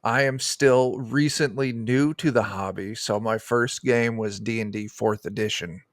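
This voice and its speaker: A man's voice: medium pitch, steady tone, unhurried, no room sound, studio quality. A man, chatting casually in a jokey, rambling way as a guest. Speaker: guest, streamer. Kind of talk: casual chat. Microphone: close desk microphone on a video call.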